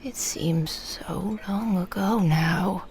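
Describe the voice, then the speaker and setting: Frail, dying old woman, played by an actress, reflective and hushed, unhurried pace, gravelly edge, for intimate radio play. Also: lowish voice, a little hiss or hum, exaggerated voice. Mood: hushed, reminiscing. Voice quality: breathy, whispery, creaky. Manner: slow, halting, reflective storytelling. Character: frail elderly dying mother recalling wartime childhood. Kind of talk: intimate audio drama monologue.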